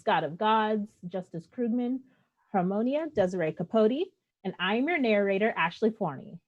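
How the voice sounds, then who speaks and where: A woman's voice: medium pitch, lo-fi sound. A woman narrating a play, reading scripted stage directions.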